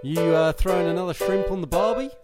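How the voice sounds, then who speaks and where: A man's voice: high-pitched, fast, natural voice. A man putting on a hammy Australian accent as a slangy bloke in a podcast jingle, with music playing. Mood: jokey. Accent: put-on Australian. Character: jokey Aussie bloke in a comedy jingle.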